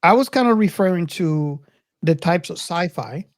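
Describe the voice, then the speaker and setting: Low-pitched woman podcaster, reflective and halting. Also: animated, dry recording. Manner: reflective, halting, circles back over phrases. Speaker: podcast host. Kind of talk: casual film discussion.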